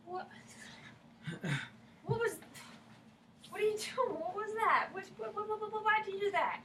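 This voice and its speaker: A woman playing a defensive small-town tomboy, very high-pitched. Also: unhurried. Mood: indignant, shrill. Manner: blunt, excitable, repeats words in bursts. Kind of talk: staged play reading. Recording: roomy, distant microphone, faint noise.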